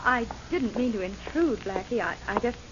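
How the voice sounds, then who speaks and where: A woman's voice: a little high, animated, normal speed, noisy background, natural voice. Woman playing a gracious, charming lady, muffled radio. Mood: polite, hesitant. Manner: gracious, soft-edged politeness. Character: charming, polite, composed married woman visitor. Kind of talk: 1940s radio drama acting. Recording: muffled 1940s radio recording.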